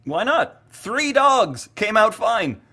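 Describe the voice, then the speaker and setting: A man's voice: high voice, big pitch swings, bright, forceful tone, breathy edge, full character voice. A man playing a nervous, stubborn scientist in an audio drama, stammering and flustered as he repeats himself. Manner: flustered, halting, repetitive. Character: nervous, defensive, stubborn male government-lab scientist.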